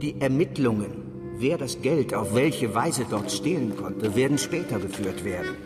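A man playing a pompous government official, measured and formal, in a radio crime drama. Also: medium pitch, lively intonation, natural voice. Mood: officious. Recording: long reverberant hall, loud music.

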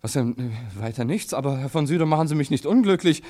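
An actor playing an excitable, bossy local newspaper editor in a German radio play, blustering and impatient, often stopping and starting as he thinks aloud. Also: mid-range voice, quick pace, natural voice. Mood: indignant, whining.

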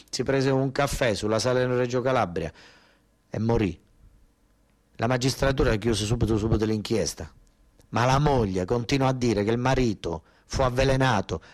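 A man's voice: medium pitch, close to an everyday voice. Impassioned southern Italian man, stage monologue by an actor. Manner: colloquial, emphatic, repetitive. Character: desperate working-class southern Italian son, a sick ex-soldier. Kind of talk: theatrical monologue, storytelling.

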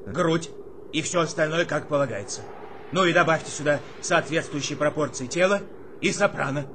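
An actor playing an earnest, flustered junior army officer who reports formally and hesitantly in a radio drama. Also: male voice, a little high, moderate pace, close to an everyday voice. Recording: faint background ambience noise.

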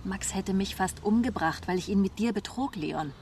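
A woman's voice: medium pitch, moderate pace, noisy background, close to an everyday voice. An actress playing a disillusioned woman of twenty-nine, narrating her life soberly in a radio drama. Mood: guarded, intimate. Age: young adult. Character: disillusioned 29-year-old daughter of a prostitute, coolly confessional.

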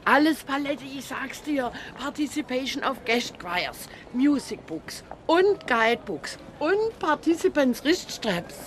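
An actress playing a brisk, eager choir singer with a Swabian accent in a radio drama. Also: female voice, high voice, sing-song, a little hiss or hum. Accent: Swabian German. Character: brisk, eager female choir-singing friend of an inspector. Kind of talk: naturalistic radio drama acting.

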